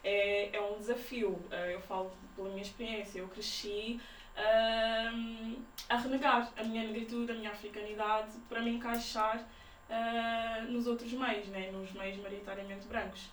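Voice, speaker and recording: Young woman, Lisbon-area accent, slightly high voice, informal interview answers, set back from the mic. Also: little pitch movement, moderate pace. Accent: Lisbon-area Portuguese. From Portugal, Sintra area. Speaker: podcast guest. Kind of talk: casual conversational interview. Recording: roomy, distant mic, faint noise.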